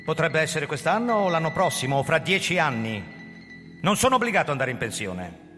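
An actor playing a proud, defensive older agency founder who narrates his story in a measured, theatrical radio-drama delivery. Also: a little high, big pitch swings. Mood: guarded, defensive. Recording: long hall reverb, music underneath. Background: music.

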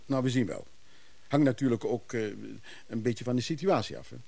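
An actor playing a wry, scheming antiques hustler in a hissy radio play recording, courteous but hesitant with others. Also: male voice, medium pitch, very animated, a little fast, vocal fry, warm tone. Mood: polite, hesitant. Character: scheming antiques hustler in his mechanical-music trade, wry, smooth. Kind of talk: Dutch radio play acting.

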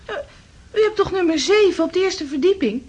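A woman's voice: very high voice, lively intonation, brisk, darkish tone. An actress in a radio play playing an effusive, helpful landlady with a gushing, singsong manner. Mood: amused, helpful. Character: amused, effusive, helpful female landlady type.

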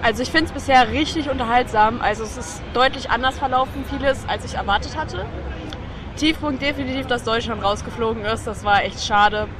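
A woman's voice: on the high side, brisk. A woman being interviewed, giving her opinions in a casual, conversational way. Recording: noisy outdoor crowd background. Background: crowd chatter, outdoor noise.

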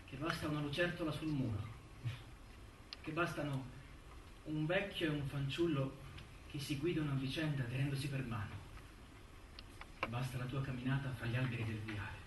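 Male actor, solitary rebel poet, incantatory theatrical recitation, high pitch, echoey distant recording, music underneath. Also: fairly even intonation, moderate pace, pauses often, noisy background, lightly acted. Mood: hushed wonder. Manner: declamatory, insistent, incantatory. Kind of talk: theatrical dramatic poetry recitation. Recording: echoey stage, distant mic.

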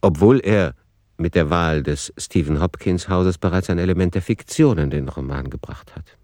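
Male radio play narrator: deep register, factual, analytical, no room sound. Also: normal speed, darkish tone, dry recording, studio quality.

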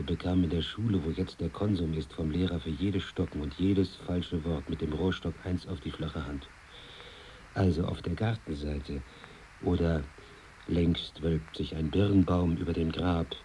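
A man narrating a literary monologue in long, flowing sentences, in the measured manner of a villager telling his story. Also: low voice, dark tone, slight background noise, poor audio.

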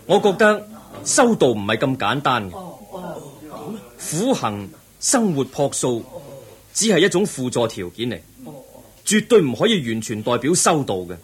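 A man acting in a Cantonese radio drama as a young prince seeking truth, earnest and measured, with a Hong Kong accent. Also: mid-range voice, sing-song. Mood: earnest, firm. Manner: measured, earnest, declamatory, preaching.

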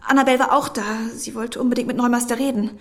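Actress as anxious devoted young woman, fairly high voice, hesitant, pleading, insistent, radio drama. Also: steady tone, fast, lightly acted. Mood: earnest, insistent. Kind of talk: German radio drama acting.

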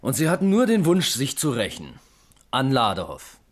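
An actor playing an eager, sceptical junior police detective in a German radio crime drama, asking pointed questions. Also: male voice, mid-range voice, wildly swooping pitch, normal speed. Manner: probing, pointed.

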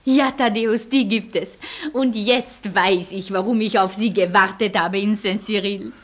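A woman's voice: medium pitch, fairly even intonation, measured pace, natural voice. A woman playing a devoted, resolute woman in wartime, speaking earnestly and solemnly in a German radio play. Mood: distressed, earnest. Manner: earnest, declamatory.

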